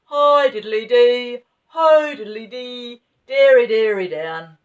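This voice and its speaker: A woman's voice: fairly high pitch, takes it slowly, lo-fi sound, voice pushed up. A woman voicing a tall, eccentric, brusque man in a storybook who bellows in a sing-song, oblivious way. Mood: singing loudly. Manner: loud, sing-song, dismissive.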